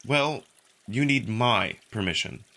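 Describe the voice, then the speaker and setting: A man's voice: medium pitch, expressive, slow pace, sharp tone, exaggerated voice. A man voicing a hesitant, guarded young human male with a defensive way of speaking in a visual novel. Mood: irritated.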